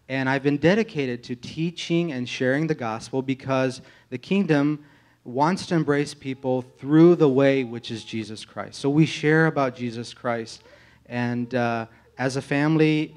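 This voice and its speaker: A man with an American accent and a mid-pitched voice gives an informal testimony to a church as a candidate for pastor. He speaks earnestly, feeling his way through phrases in a halting manner. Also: darkish tone. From United States, grew up in Tennessee.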